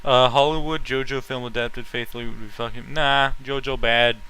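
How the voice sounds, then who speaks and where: A man's voice: medium pitch, steady tone, moderate pace, bright, forceful tone, clear, resonant tone. A man chatting casually as a livestream host, reacting to what he sees and giving blunt opinions with casual swearing. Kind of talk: casual livestream chat, reacting to fan art and comments.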